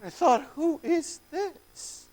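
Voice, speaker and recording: A man performing a first-person dramatic monologue as a tormented man freed from possession, swinging between hushed and shouted lines. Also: extremely high pitch, measured pace, clean tone. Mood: haunted.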